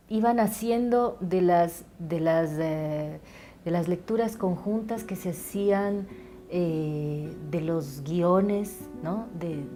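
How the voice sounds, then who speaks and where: A woman's voice: lowish voice, mellow. A woman giving reflective commentary as a drama creator, searching for her words. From Ecuador. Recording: music behind the voice.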